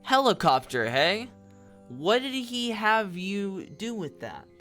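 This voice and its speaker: Someone acting a formal lawyer making accusations in an audio drama, middle pitch, bit of music under it. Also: big pitch swings, slow, fairly bright tone, exaggerated voice. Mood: probing. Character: formal, theatrical prosecuting lawyer in a fantasy court. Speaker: prosecutor. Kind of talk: scripted audio drama courtroom speech.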